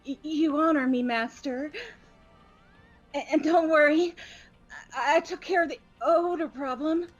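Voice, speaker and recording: A woman's voice: high-pitched, a little slow, pauses often, lo-fi sound, faint music behind. A woman voicing a nervous, fawning teenage girl with a stutter in a game playthrough. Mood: anxious fawning. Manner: fawning, defensive, jittery. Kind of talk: voiced visual novel game playthrough.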